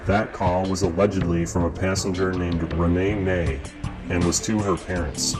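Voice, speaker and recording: Man, very low voice, scripted documentary narration, measured formal delivery, prominent guitar backing music. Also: fairly even intonation.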